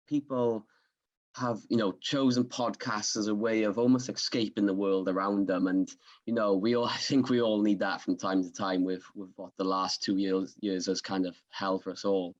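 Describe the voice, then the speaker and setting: Upbeat Swansea Welsh man, low voice. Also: steady tone, moderate pace, muffled recording. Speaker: podcast host. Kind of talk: conversational interview.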